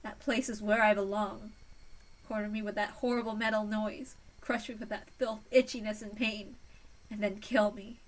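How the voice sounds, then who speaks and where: A woman voicing a tormented, self-loathing female villain in a hushed, anguished, deliberate read-aloud of a visual novel. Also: medium pitch, little pitch movement, distant mic, natural voice, poor audio.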